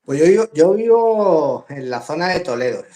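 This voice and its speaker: Man, Madrid Spanish accent, middle-aged, slightly high voice, lively casual chat, video call. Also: normal speed. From Madrid, Spain. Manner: chatty, laughs often, animated. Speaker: guest drone hobbyist. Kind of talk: casual live-stream interview.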